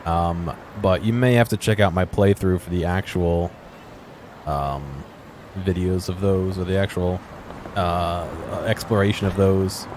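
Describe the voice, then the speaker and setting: Male game streamer, lowish voice, casual off-the-cuff exploring commentary with faint street ambience behind. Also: measured pace, a little mellow, a little hiss or hum. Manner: musing aloud, asking himself questions.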